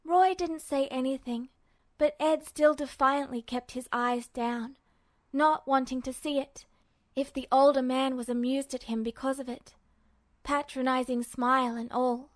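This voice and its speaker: A woman with a high voice narrates fiction from a script. The recording is very dry, with the sound of a story read aloud for listeners. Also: steady tone, measured pace, no room sound. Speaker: podfic narrator.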